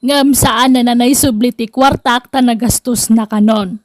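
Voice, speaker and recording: A woman's voice: on the high side, fairly even intonation, unhurried, no echo, clean studio sound, close to an everyday voice. A woman playing a rueful swindled character who narrates her lesson in a scripted radio drama.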